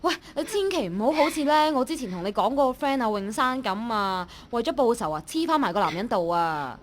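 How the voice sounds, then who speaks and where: A woman's voice: on the high side, sing-song, a little fast. An actress playing a nosy young female office colleague in a radio drama, talking in a blunt, colloquial, gossipy way. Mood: gossipy, alarmed. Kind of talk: Cantonese online radio drama acting.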